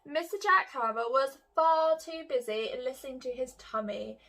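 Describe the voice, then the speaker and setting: A woman's voice: high-pitched, moderate pace, a bit bright. A woman reading a picture book aloud to children like a teacher, expressively and with questions to her young listeners.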